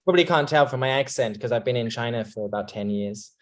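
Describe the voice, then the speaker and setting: Brief: English man, guest on a video call, hesitant and restarting, mid-pitched everyday voice. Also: fast. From England. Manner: hesitant, many restarts and ums. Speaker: company representative guest. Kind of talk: casual video-call chat.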